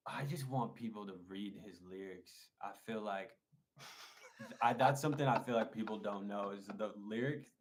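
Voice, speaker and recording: A man's voice: fairly low pitch, moderate pace. A man talking as a producer guest in a casual interview, rambling with many likes and restarts.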